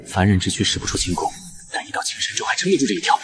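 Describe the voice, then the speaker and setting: An actor playing a grave ancient sorcerer, narrating his own fate in an animated drama. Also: fairly low pitch, racing, music in the background. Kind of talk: dramatic first-person narration.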